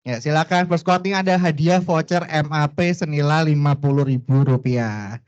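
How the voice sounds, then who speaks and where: A young man hosting a company livestream quiz, excitable and chatty, tagging many sentences with little fillers. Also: fairly high pitch, moderate pace, clear, resonant tone, dull audio. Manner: excitable, chatty, peppers talk with "gitu ya". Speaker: quiz host. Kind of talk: lively corporate livestream hosting.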